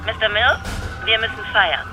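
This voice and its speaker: Radio drama role: eager, flirty male, played by an actor, high-pitched, pushy, music underneath. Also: moderate pace, lightly acted, rough recording. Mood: exuberant. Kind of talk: radio play acting.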